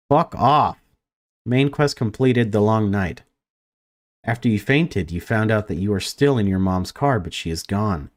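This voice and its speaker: Gamer man, low voice, reads the game text aloud, jumps into surprised outbursts. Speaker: let's play gamer. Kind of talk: reading a visual novel aloud with reactions.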